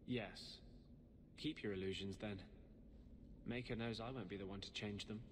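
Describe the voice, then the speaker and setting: An actor playing a grim, judgmental rebel mage companion in a video game, earnest and brooding. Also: male voice, on the low side, steady tone, unhurried, close to an everyday voice. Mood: disapproving. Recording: long reverb, noisy game ambience.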